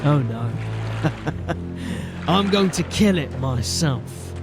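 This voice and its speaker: A commanding watch officer from a fantasy audio drama, pitched fairly high for a male. He speaks with wry, grim resolve, and music plays clearly behind his words. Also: lively intonation, takes it slowly. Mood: grimly resolute. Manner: commanding, wry, grimly determined. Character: gruff, resolute male city watch sergeant turned captain. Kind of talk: audio drama acting and first-person narration.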